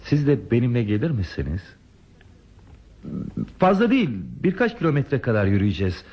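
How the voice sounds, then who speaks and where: A male actor playing a lonely, tender railway man in a radio play, speaking politely in a halting, earnest, theatrical way. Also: medium pitch, huge pitch swings, breathy edge, muffled recording. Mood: hesitant, polite.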